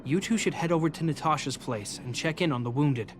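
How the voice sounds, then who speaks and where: An actor playing an earnest, dutiful young guard captain in a video game, composed and formal. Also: male voice, fairly high pitch, brisk, noisy background, natural voice. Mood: warm, sincere. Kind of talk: video game character dialogue. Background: game music.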